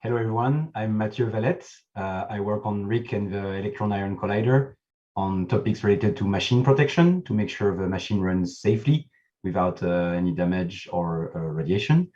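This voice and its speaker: Low-voiced French man, muffled sound. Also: moderate pace, warm tone, far from the mic. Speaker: accelerator scientist. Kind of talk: casual self-introduction.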